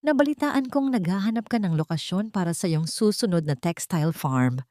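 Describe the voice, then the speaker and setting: A woman's voice: lowish voice, expressive, brisk, mellow, dry recording, lightly acted. A rich older matriarch and villain, played by a woman narrator, greedy and falsely sweet. She brags and brushes things off in soap-style storytelling, recorded very dry. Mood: ingratiating. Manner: falsely sweet, boastful, dismissive.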